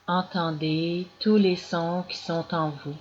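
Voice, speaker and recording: A woman guiding a yoga nidra meditation as scripted narration, in calm, measured instructions with long pauses. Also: fairly low pitch. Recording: dull lo-fi recording with clear background noise.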